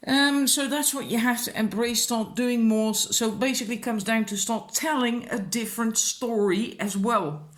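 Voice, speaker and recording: A woman's voice: fairly high pitch, steady tone, normal speed, hardly any pauses. A woman with a Dutch accent, a native Dutch speaker, giving informal, encouraging spiritual advice as a card reader in a rambling, casual way. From Netherlands.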